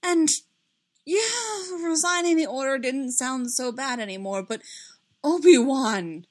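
Female reader as impulsive young male hero in story narration: agitated, emphatic, loud, fiercely attached to his friend. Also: medium pitch, sing-song, normal speed, lightly acted. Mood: upset. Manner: emphatic, loud outbursts, broken repetitions. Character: agitated, hot-headed young man, distraught loyal friend.

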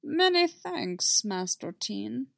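A woman voicing an ancient, wise alien sage who speaks slowly with inverted word order. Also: on the high side, big pitch swings, gravelly, a bit bright, dry recording, studio quality, exaggerated voice. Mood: wise, patient. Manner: inverted word order, slow measured speech.

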